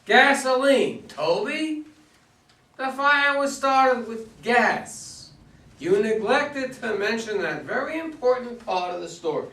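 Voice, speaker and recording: A man in a stage play reading, playing a sardonic, condescending police detective who speaks deliberately. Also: very high-pitched, expressive, bright, forceful tone, heavily acted. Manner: deliberate, sarcastic. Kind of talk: stage play read aloud by readers' theater. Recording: echoey room, distant mic.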